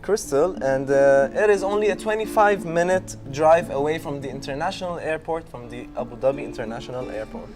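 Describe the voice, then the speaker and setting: A man's voice: a little high, brisk, few pauses, music in the background. A man co-hosting a studio talk show, presenting a list with many fillers and restarts, laughing easily. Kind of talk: casual studio chat presenting a list segment.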